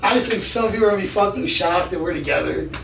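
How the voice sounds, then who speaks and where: A man in his thirties talks as a podcast guest in loose, profane slang. His voice is raspy and gravelly and pitched high for a man. It sounds echoey and a little away from the mic. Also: fast talker, a bit bright. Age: 30s. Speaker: podcast guest, gym enthusiast. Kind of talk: casual chat. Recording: echoey, distant mic with noise.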